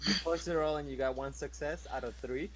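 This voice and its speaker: A man's voice: fairly high pitch, fairly even intonation, fairly quick, fairly bright tone, rough recording. A man playing a tabletop role-playing game, bantering casually and swearing freely. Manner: profane, bantering.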